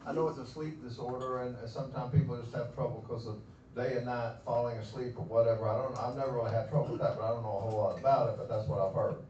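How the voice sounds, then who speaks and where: A man in the audience answering a question quickly and offhandedly. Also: medium pitch, fairly even intonation, dark tone, a little hiss or hum. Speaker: audience member. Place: community room. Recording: distant, echoey, dull room sound.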